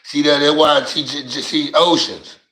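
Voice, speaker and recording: Raspy middle-aged Louisiana man, slangy. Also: a little high, steady tone, normal speed, sharp tone, reverberant, rough recording. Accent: Louisiana Southern US. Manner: slangy, rambling, stumbles and repeats words. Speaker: livestream host. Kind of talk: casual livestream chat.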